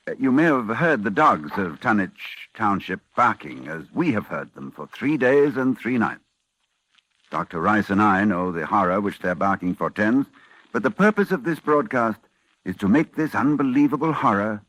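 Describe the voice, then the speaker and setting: An actor playing an anxious, solemn scholar who narrates a horror tale in formal, dramatic 1940s radio style. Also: male voice, on the low side, a bit bright, dry recording, rough recording. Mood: grave warning. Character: grave, anxious male scholar warning of cosmic horror.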